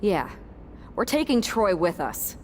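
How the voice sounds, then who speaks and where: A woman's voice: mid-range voice, very animated, slow, slight background noise. A woman playing a brash, combative wrestler in a video game cutscene, talking in a punchy, confrontational way. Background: music.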